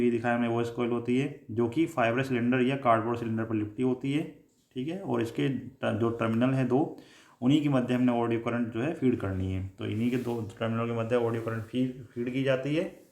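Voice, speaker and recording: A man with an Indian accent giving a technical lecture, explaining in a halting, repetitive way and mixing in English terms. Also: medium pitch, darkish tone. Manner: halting, repetitive explaining with frequent filler phrases. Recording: echoey room.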